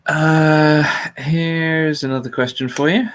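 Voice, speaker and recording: A man's voice: a little high, steady tone, slow pace. A man hosting a casual livestream chat in a blunt, jokey way. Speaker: livestream host.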